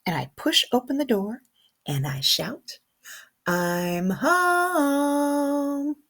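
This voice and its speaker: Slow, very animated female kindergarten teacher reading aloud. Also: high voice. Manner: animated, simple wording, pauses to comment and question. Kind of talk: expressive picture-book read-aloud for young children, with asides.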